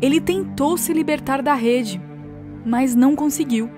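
A woman's voice: sits high, unhurried. A woman narrating a scripted children's story. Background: music.

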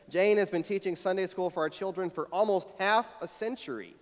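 An American man in his thirties, a pastor giving a conversational, personal sermon with a reflective, storytelling manner at a church pulpit. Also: sits high. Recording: long hall reverb from church sanctuary.

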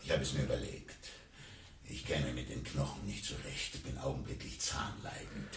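An actor playing a sardonic, imperious talking tiger in a radio play, with a dry, commanding manner. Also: male voice, a bass voice, steady tone, normal speed, echoey room, a little hiss or hum. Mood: haughty, mocking. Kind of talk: 1980s German radio play acting.

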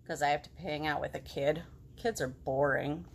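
A bored, dismissive talking potato from a storybook, acted out by a woman. The voice drops low and stays curt and deadpan, unimpressed by everything. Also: moderate pace, creaky, slight background noise. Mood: bored, disappointed.

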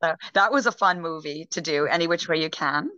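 A middle-aged actress chatting in a rambling, casual way over a video call from home, with many ums and restarts. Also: female voice, deep voice, brisk, bright, forceful tone, dry recording. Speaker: actress guest. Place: home room, indoors. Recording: dull lo-fi video call audio.

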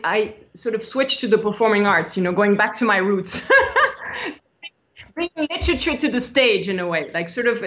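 A woman's voice: medium pitch, a bit bright. Woman chatting on a lo-fi video call. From Switzerland. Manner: chatty, laughs often, many restarts. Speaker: guest, actress. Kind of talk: casual video-call interview. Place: small indoor room by a window. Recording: dull lo-fi video-call audio.

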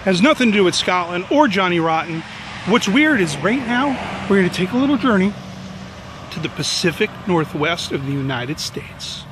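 A man's voice: high-pitched, sing-song, normal speed. A man in his forties hosting a vlog, joking his way through an outdoor visit, filmed with a handheld camera at arm's length beside a busy road. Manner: playful, mock-dramatic, wisecracking. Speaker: vlog host. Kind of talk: jokey exploring vlog. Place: outdoors by a road, overcast and drizzly. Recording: outdoor traffic noise behind. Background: passing cars.

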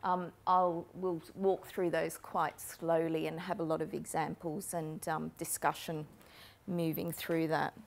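Lower-voiced woman giving a talk. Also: level tone, creaky. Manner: measured, explanatory, with frequent ums. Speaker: keynote speaker on marketing. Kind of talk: conference presentation. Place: lecture room with a projector screen. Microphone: lapel mic.

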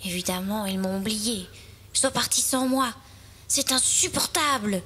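Woman as a high-voiced, plaintive little fir tree. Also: measured pace, slightly rough. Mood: indignant. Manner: plaintive, expressive. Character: small, wistful, easily upset little fir tree. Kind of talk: children's storybook acting.

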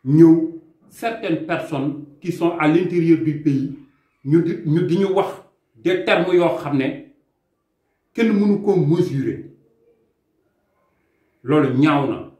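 A man's voice: on the high side, slow pace, long pauses, darkish tone. A young Senegalese man, an immigration consultant, gives an impassioned, emphatic statement to camera, gesturing with his hands. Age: young adult. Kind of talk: earnest video statement, mixing French and Wolof. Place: small indoor room. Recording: echoey room sound.

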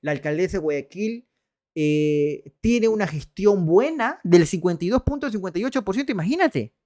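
Young guy on a headset, kinda high voice, sarcastic political stream chat. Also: lively intonation, normal speed, mellow. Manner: sarcastic, reads poll numbers aloud, greets viewers. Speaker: livestream political commentator. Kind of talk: casual political livestream commentary. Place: small room indoors.

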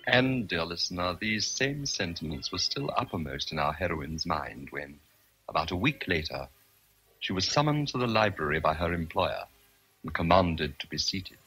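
A man's voice: sits low, expressive, moderate pace, sharp tone, heavily acted, low-quality recording. A man giving formal, scripted narration for a radio drama.

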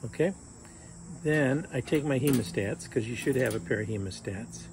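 A man's voice: mid-range voice, normal speed, noisy background. A man in his sixties giving a casual, joking fly fishing lesson while tying knots on a sunny patio. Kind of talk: casual how-to demonstration. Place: outdoors on a sunny patio by a fence.

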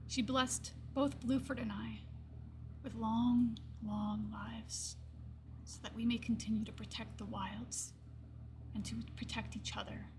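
A woman's voice: fairly high pitch, little pitch movement, gravelly. Woman voicing a wistful old lion-folk druid, noisy recording. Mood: earnest, reminiscing. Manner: wistful, reflective, slow pauses, hushed trailing phrases. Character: gentle old gray-furred lion-folk druid in metal armor. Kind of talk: character monologue for a tabletop fantasy game.

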